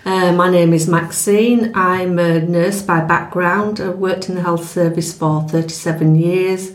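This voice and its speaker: A middle-aged woman with a Yorkshire accent, a retired nurse and manager chatting with friends, measured and reflective. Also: lowish voice, fairly even intonation. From England. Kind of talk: casual group chat. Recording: echoey room.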